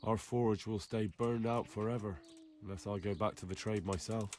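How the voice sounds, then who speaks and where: A man's voice: fairly low pitch, fairly even intonation, moderate pace, darkish tone, slight background noise, lightly acted. A young man acting a polite, inquisitive blacksmith's son in a medieval video game, earnest and plain-spoken. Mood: wistful. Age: young adult.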